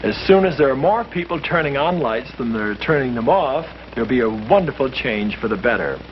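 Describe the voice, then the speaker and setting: A priest speaking earnestly to a small group, with a lively, preacherly way of stressing his points. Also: medium pitch, a little fast. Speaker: priest leading a discussion. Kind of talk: earnest scripted speech in a 1951 short film. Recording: muffled old film soundtrack with hiss.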